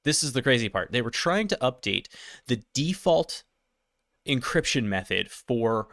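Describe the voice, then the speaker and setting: A man's voice: medium pitch, big pitch swings, unhurried, gravelly, fairly bright tone, no room sound, clean studio sound. Chatty man with a head cold. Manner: chatty, laughs between points. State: sniffly with a cold. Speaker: livestream host. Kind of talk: casual tech news livestream.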